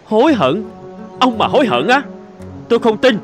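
A man's voice: sits high, big pitch swings, normal speed, faint music behind. A man voicing a spiteful, cocky male sardine in a cartoon fable, mocking and gloating theatrically. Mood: disbelieving. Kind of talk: animated fable voice acting.

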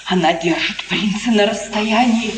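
A woman's voice: mid-range voice, measured pace, noisy background, natural voice. An actress playing an anxious, worried noblewoman with an emotional, theatrical delivery in a 1950s radio play. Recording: roomy old 1950s radio recording.

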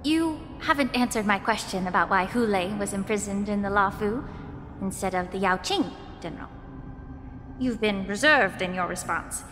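An actress voicing a calm, thoughtful young woman alchemist in a video game, speaking in a measured, analytical way. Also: mid-range voice, lightly acted. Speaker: game character. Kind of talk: dubbed game dialogue. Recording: long reverb with game music.

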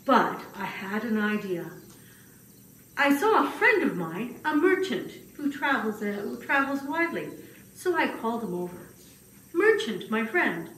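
A woman puts on a humble old man telling his story in a puppet show. The voice is rather high and very animated, halting and easily flustered. It sounds roomy and set back from the mic. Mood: earnest. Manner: hesitant, halting, with many pauses. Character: gentle old male grass cutter, humble and easily flustered. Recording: roomy, distant mic, faint noise.

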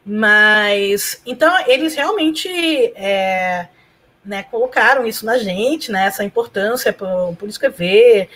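A woman's voice: mid-range voice, slow, fairly bright tone. A woman from Argentina whose first language is Spanish, chatting as a guest over a video call, laughing often and tagging her sentences with questions. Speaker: guest, professor and blogger. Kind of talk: casual interview chat. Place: home room.

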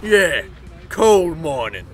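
An actor playing a menacing, mocking kidnapper in an audio drama, with a sneering, taunting drawl. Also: male voice, a little high, very animated, drawn-out words, exaggerated voice. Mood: mocking menace. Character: menacing, mocking villain, a kidnapper selling boys to the mines. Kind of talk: family audio drama acting. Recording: noisy outdoor scene with animal sounds.

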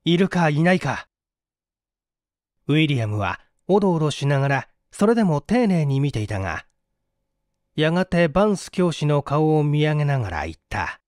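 Male audiobook narrator, dramatic old-school mystery reading, lively intonation, dry studio-like sound. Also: on the high side, a little fast, no room sound. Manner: theatrical, formal.

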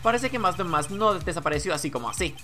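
A man's voice: high voice, animated, quick pace, pushed and bright, soft background music. A man voicing an earnest, determined young male defense attorney in a video game, speaking firmly. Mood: accusing. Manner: firm, declarative.

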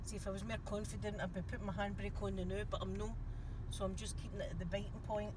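A Scottish woman talks casually while driving, chatting to viewers and her passenger. Her voice sits a little back from the phone on the dashboard. Loud car and road noise runs underneath. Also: mid-range voice, little pitch movement, fast. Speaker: vlogger driving. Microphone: phone camera on the dashboard. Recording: noisy in-car phone recording, a little distant. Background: car engine and road noise.

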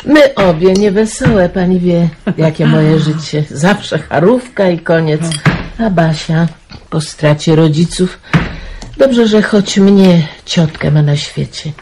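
An actress playing a weary, kindly middle-aged aunt in a wartime radio drama, sighing and resigned. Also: female voice, on the low side, slow, noisy background. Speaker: aunt raising her niece.

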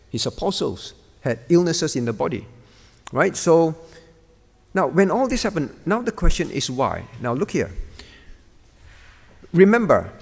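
A man's voice: on the high side, vocal fry. A middle-aged man preaching like a teacher, emphatic, repeating key words and putting questions straight to his listeners. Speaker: pastor teaching a prayer meeting. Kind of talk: sermon delivered as a lesson, with questions to listeners. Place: meeting room with a projection screen, indoors. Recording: reverberant hall recording.

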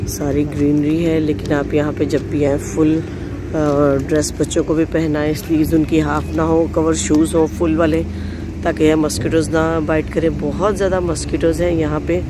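High-voiced man giving travel tips. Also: little pitch movement, normal speed. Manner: practical, instructive, mixes in English words. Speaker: travel vlogger. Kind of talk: travel vlog advice. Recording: noisy outdoor recording.

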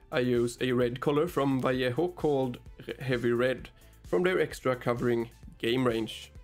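A man in his thirties whose first language is Swedish, hosting a miniature painting tutorial with deadpan, sarcastic asides, recorded in a small hobby room on a mic in front of him. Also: medium pitch. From Sweden. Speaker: miniature painting channel host. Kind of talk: dry, joking tutorial narration.

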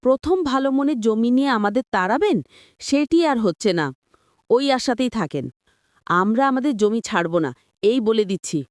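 A woman's voice: fairly high pitch, animated, moderate pace, no room sound, clean studio sound, natural voice. A woman voicing a defiant village farm woman in a cartoon, scolding and firm as she guards her land. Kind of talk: cartoon voice acting.

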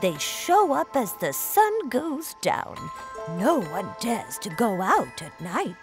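A woman playing an awestruck villager in an animated fairy tale, dramatic and full of wonder, with music behind her. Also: a little high, slow, exaggerated voice. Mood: ominous. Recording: voice over loud background music.

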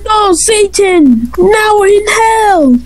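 Slow, high-pitched arrogant gym bully acted by a woman. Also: animated, no echo, exaggerated voice. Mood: shocked. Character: arrogant buff male gym bully, mocking and childish. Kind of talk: amateur animated skit acting.

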